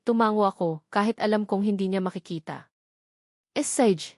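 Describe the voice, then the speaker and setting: A woman's voice: medium pitch, a little mellow, no echo, clean studio sound. A woman reading a scripted first-person love story as a dramatic narrator. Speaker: narrator of a romance audio drama.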